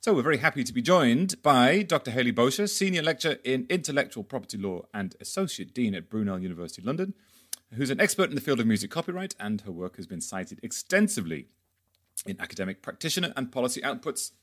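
A man's voice: medium pitch, sing-song, fast, fairly bright tone. A man hosting a podcast with a wry, playful manner, moving between scripted introduction and chatty interviewing. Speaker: podcast host and editor.

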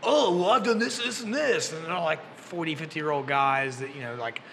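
A man's voice: on the high side, fairly quick, vocal fry, a bit bright, long reverb tail, slight background noise. A man in his early thirties, a podcast host who books music at a brewery, chatting loosely and joking with some profanity. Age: 30s. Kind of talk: casual chat. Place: brewery taproom. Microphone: desk mic on boom arm.

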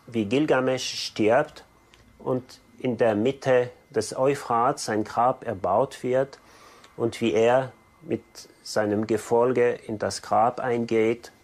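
Slow-speaking male expert. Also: medium pitch, steady tone. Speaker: interviewed expert. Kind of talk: explanatory interview. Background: music.

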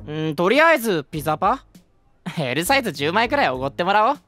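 Audio drama: cheeky teenage schoolboy, casual and teasing, lively intonation, light music behind. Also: male voice, high voice, quick pace. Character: cheeky, flippant male high school student.

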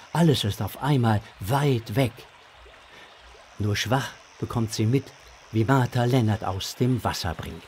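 A man's voice: mid-range voice, darkish tone, slight background noise. A man narrating a scripted audio drama for children.

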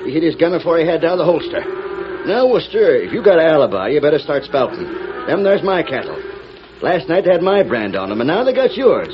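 A man's voice: fairly high pitch, sing-song, brisk, music in the background. A man playing a stern, folksy American cattle rancher with cowboy dialect in a 1940s radio western. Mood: triumphant, commanding. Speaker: radio drama actor. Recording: muffled, noisy old radio broadcast.

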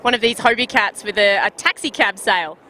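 A woman's voice: medium pitch, brisk, pushed and bright, a little hiss or hum, poor audio. A woman interviewing outdoors at a city marina on a handheld mic, bubbly and upbeat, laughing often. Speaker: sailing interviewer. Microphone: handheld microphone.

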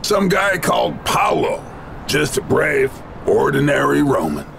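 Casting: cocky game action hero, gravelly, deadpan quips, highly varied pitch. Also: male voice, a little slow, shouting, slight background noise, exaggerated voice. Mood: grave, resolute. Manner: deadpan, quipping. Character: cocky, wisecracking tough soldier hero, adult man. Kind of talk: video game voice acting.

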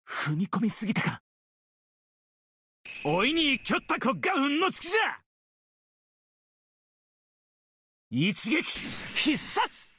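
A man's voice: very high-pitched, wildly swooping pitch, measured pace, pushed and bright, husky, full character voice. A man playing an easygoing, teasing young swordsman in a mobile game, with a casual, playful drawl. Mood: fierce, fighting. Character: easygoing, teasing young swordsman, laid-back surfer temper. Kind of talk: Japanese mobile game character voice lines.

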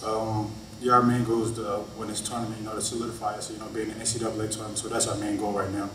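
A man in his early twenties, a college athlete, giving measured, plain-spoken answers at a press conference. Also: lowish voice, flat delivery, a bit bright. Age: early 20s. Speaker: college basketball player. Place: press conference room, indoors. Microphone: table microphone on stand. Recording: echoey room, distant mic, clear noise.

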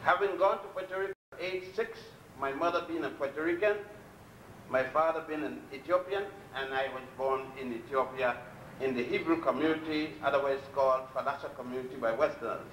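A man's voice: medium pitch, a bit bright, distant mic, a little hiss or hum. Blunt older man lecturing in an echoey hall. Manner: blunt, defiant, storytelling pace with long pauses. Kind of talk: public lecture. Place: lecture hall at a podium before a chalkboard. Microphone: podium mic on a stand, filmed from a distance. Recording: echoey hall, recorded from afar.

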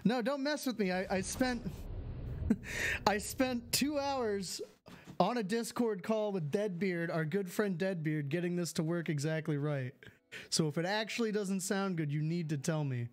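A man with a fairly high voice chatting his way through a live game stream at home. He reads chat messages aloud and answers them in an easygoing way, heard on a close studio mic. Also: unhurried. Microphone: studio mic with filters.

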